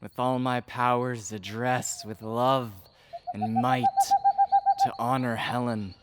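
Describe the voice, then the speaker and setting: A man as an ardent young lover, slow-spoken. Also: mid-range voice, fairly even intonation, very noisy, natural voice. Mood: scornful, resolute. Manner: declamatory, ardent. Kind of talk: Shakespearean verse audio drama acting.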